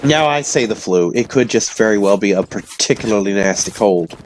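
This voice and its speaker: A man with a hoarse voice from illness, telling rambling stories casually to his audience. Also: fairly low pitch, expressive, a little fast, soft background music, rough recording. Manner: meandering, self-interrupting, chatty. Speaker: vlogger.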